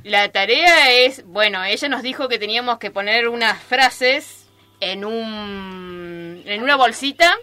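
A woman's voice: on the high side, unhurried, bright, forceful tone. A woman with an Argentine accent chatting casually in an interview as an amateur radio theatre performer. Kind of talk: casual group interview chat. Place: radio studio.

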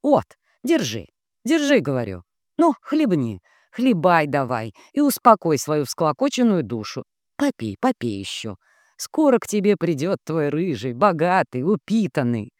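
A woman voicing a bossy, coarse female minder who is brisk, stern and cajoling. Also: deep voice, very animated, no room sound, clean studio sound, voice pushed up. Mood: coaxing.

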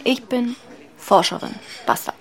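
An actress playing a blunt, self-assured rocket researcher in a radio drama. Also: female voice, a little high, sing-song, a little slow. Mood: cool, firm.

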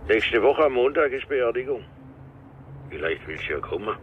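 An actor playing a terse, plain-spoken old villager in a radio drama, talking haltingly over the phone. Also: male voice, mid-range voice, sing-song, slight background noise, natural voice. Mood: subdued, terse. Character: old village widower, plain-spoken bearer of bad news. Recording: dull, muffled phone call.

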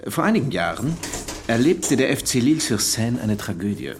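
Actor as shrewd detective with lively intonation. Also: male voice, mid-range voice, slight background noise, close to an everyday voice. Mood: measured, pointed. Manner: deliberate, pointed storytelling. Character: shrewd, methodical male police detective. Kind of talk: radio drama acting.

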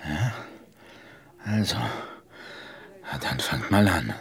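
An actor playing a seedy, impatient, bossy bar client with a commanding, menacing manner in a radio drama. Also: male voice, low-pitched, sing-song, slow pace, heavily acted. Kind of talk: German radio drama acting. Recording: music behind the voice. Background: loud music.

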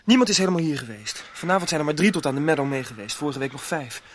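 Radio drama: actor as evasive young village man, higher-pitched, defensive and stalling, noisy recording with birds. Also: lively intonation, fast talker, close to an everyday voice. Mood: uneasy, defensive. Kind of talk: radio play acting.

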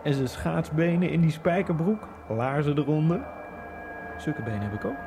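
A man's voice: on the high side, expressive, moderate pace, over music. Man narrating a brooding radio monologue. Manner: brooding, dreamy inner monologue with sudden bursts. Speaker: Egyptian immigrant narrator.

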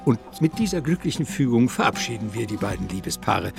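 A man narrating a radio drama in the present tense, with a lively storytelling delivery. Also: medium pitch, brisk, gravelly, over music.

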